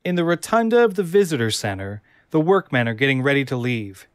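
A man's voice: mid-range voice, big pitch swings, a little fast, clean tone, no echo, clean studio sound. A man narrating a scripted audio drama, reading screenplay action.

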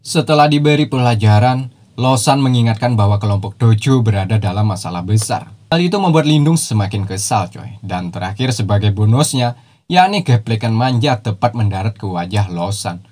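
A man's voice: fairly low pitch, expressive, moderate pace, a little mellow. A man narrating a cartoon series recap in a casual, slangy voice-over, dropping teasing jokey asides.

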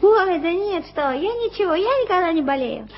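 A stubborn street kid. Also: female voice, extremely high pitch, animated, brisk. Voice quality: insistent, protesting. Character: stubborn street child passing as a boy. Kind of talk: 1980s radio drama acting. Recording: dull-sounding vintage radio recording with music.

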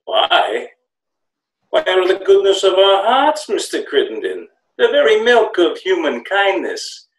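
A man's voice: high-pitched, huge pitch swings, measured pace, full character voice. An older actor playing a mock-polite, wordy, menacing gangster's henchman in a radio play. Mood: sardonic. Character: smooth-talking, sardonic, menacing gangster's henchman. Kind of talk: old-time radio play acting. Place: small home room, video call. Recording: roomy home video call.

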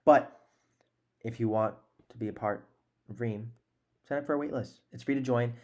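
A man in his twenties with a medium-pitched voice talks as a podcast host. He is conversational and earnest, with an encouraging way of putting things, speaking close to a desk mic in a room at home. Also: moderate pace, a little mellow. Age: 20s. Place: small room at home, indoors. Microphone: close desk microphone.

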